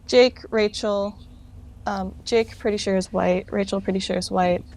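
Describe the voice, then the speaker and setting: A woman's voice: medium pitch, steady tone, moderate pace, a little mellow, rough recording. A woman answering interview questions casually, in an informal, rambling way that often corrects itself. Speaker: interview guest, fan project founder. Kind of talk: casual chat.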